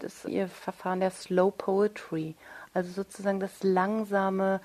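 A woman's voice: lowish voice, darkish tone. Woman author explaining her work. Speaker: radio play author, interview guest. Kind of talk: reflective interview conversation.

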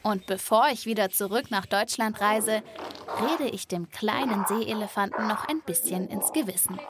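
A woman narrates a children's animal podcast in a lively, playful way, speaking straight to young listeners. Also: mid-range voice, moderate pace, heavy background noise.

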